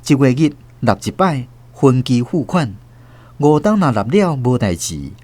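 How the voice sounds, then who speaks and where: A male narrator with a Taiwanese Hokkien accent tells a radio drama story. His medium-pitched voice moves with lively, animated intonation. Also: a little slow. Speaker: host and narrator.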